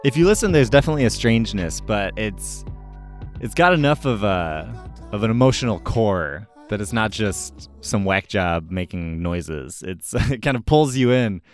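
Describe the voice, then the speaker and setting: A man's voice: fairly low pitch, animated, moderate pace. A man hosting a music review show, talking casually and enthusiastically about albums in an informal, opinionated way. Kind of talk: casual album recommendation talk.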